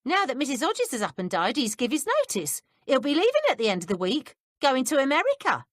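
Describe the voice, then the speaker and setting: A woman's voice: high-pitched, huge pitch swings, brisk, dry recording, studio quality, voice pushed up. A woman voicing a blunt, coarse working-class housekeeper who speaks plainly and bluntly. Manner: blunt, common speech.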